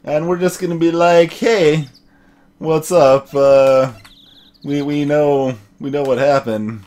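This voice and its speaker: A man's voice: fairly high pitch, fairly even intonation, slow, a little mellow. A man giving casual game commentary and reading dialogue aloud, musing and repeating his phrases as he thinks. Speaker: let's play host.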